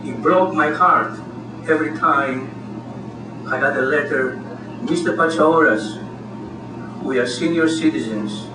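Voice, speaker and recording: A man's voice: on the high side, unhurried, pauses often. A man with a Greek accent giving an earnest, impassioned campaign speech at a community meeting. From Greece. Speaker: candidate speaking at a community meeting. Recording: distant roomy hall recording with noise.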